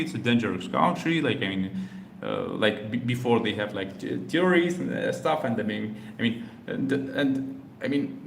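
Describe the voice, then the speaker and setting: Man, from Algeria, reaction host, halting and filler-heavy delivery, roomy echo, faint hum behind. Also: mid-range voice, gravelly. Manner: halting, many fillers and restarts. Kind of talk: casual commentary on a video.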